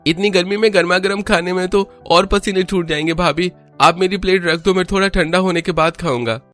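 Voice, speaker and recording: A man's voice: high-pitched, fairly even intonation, a little fast, fairly bright tone, soft background music, pitched above the performer's own voice. A man voicing a boyish college-age younger brother in a cartoon story. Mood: complaining.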